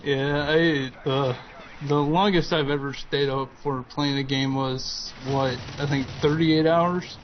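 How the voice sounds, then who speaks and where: A man in his twenties, a guest chatting slowly and hesitantly with frequent ums. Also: medium pitch, steady tone. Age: 20s. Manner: slow, hesitant, lots of ums and uhs. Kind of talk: casual chat over gameplay commentary. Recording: dull lo-fi voice-chat audio with faint noise.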